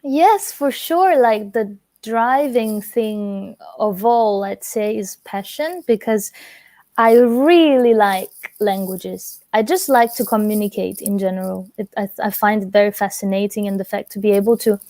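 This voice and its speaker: A woman in her twenties, raised in Italy, talks easily as an interview guest, filling her sentences with casual "like" and "kinda". Her voice is warm and mellow, and the recording is dry and close. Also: medium pitch, measured pace, no room sound. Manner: relaxed, chatty, many fillers like "like" and "kinda". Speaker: guest singer.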